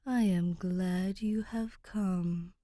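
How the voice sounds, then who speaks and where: A woman acts out an ancient female ghost who rules a city, in a storybook style. She speaks slowly and formally, with stately poise and a mysterious, amused air. The sound is dry and intimate. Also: fairly low pitch, fairly even intonation, dark tone, no echo. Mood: gracious, amused. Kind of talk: fan fiction audiobook character voice.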